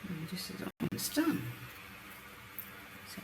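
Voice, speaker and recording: A woman hosting a card-making demonstration, chatting through each step with a croaky voice. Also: slow. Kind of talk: casual craft demonstration. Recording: roomy, mic at a distance, faint background noise. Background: birds outside.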